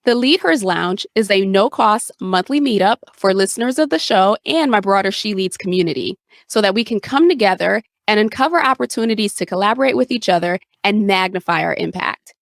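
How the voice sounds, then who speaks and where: Female podcast host, motivational and effusive, medium pitch, dry close sound. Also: lively intonation, fairly quick, creaky, no echo. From Haiti. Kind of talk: podcast intro and interview hosting.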